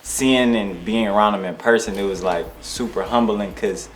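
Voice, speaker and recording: Deep-voiced young man rambling casually. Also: normal speed, low-quality recording. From Detroit, USA. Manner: relaxed, rambling, many restarts and slang. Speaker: rapper, interview guest. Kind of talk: casual interview.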